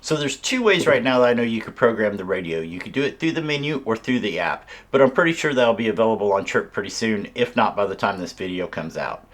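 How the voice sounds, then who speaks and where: A man's voice: fairly low pitch, expressive, quick pace, hardly any pauses. A man giving a casual hands-on product tutorial, explaining things informally step by step as a reviewer. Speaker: radio reviewer.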